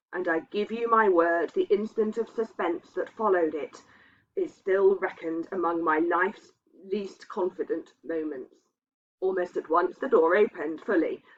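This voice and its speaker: A woman reading a literary ghost story aloud as a narrator. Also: fairly low pitch. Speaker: reader. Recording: dull, muffled lo-fi sound.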